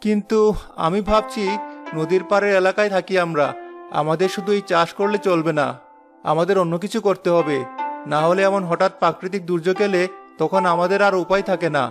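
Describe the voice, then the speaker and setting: Man acting an earnest high-voiced village farmer. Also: fairly even intonation, a little fast, close to an everyday voice. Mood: thoughtful. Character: earnest, hopeful poor village farmer, male. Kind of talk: cartoon voice acting. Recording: music behind the voice. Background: background music.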